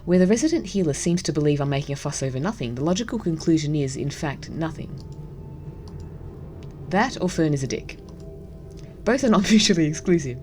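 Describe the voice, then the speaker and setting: A woman's voice: low voice, animated, brisk, long pauses, a little mellow, soft background music. A woman hosting a gaming video, casually commenting and reading the story text aloud. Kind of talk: casual game commentary and read-aloud narration.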